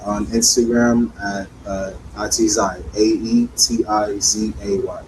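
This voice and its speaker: Man guest, low and monotone, casual, audible air-conditioning hum behind. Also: unhurried, poor audio. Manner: casual, hesitant, with fillers. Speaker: guest, videographer.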